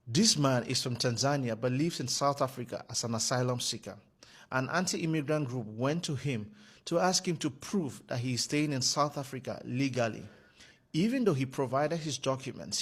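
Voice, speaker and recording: A man reading a scripted news report in a measured, even way. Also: mid-range voice, slightly rough.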